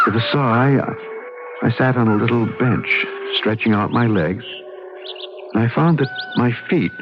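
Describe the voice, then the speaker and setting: A man's voice: mid-range voice, vocal fry. An actor playing a troubled, melancholy man in an old radio drama, telling his story in brooding, literary phrases. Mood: weary, haunted. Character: troubled, melancholy man recovering from nervous breakdown. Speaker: actor narrating and playing the lead. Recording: muffled old radio broadcast with music.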